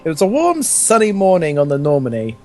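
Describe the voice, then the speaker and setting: A man's voice: on the high side, wildly swooping pitch, a little fast, a little mellow, soft background music. A young man casually reading fan fiction aloud, stumbling over lines and joking along. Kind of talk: casual story reading with banter.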